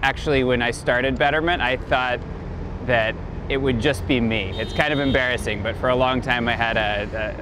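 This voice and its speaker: A man's voice: mid-range voice, steady tone, normal speed. A man in his thirties talking informally about his life and work, explaining as an executive in a casual interview. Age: 30s. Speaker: company chief executive being profiled. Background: background music.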